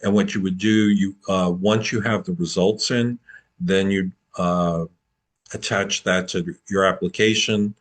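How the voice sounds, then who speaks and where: A man answering applicants' questions at an online open house, relaxed and explanatory, over a video call. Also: low voice, measured pace. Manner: relaxed, explanatory, with repeats and restarts. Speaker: program admissions advisor.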